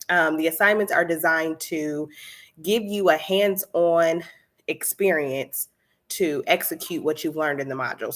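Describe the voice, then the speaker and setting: Woman, 30s, low-pitched, chatty coach, slightly congested, easygoing live-stream chat. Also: fairly bright tone. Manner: chatty, many fillers, laughs often. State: slightly stuffy nose. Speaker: candle business coach hosting a live stream.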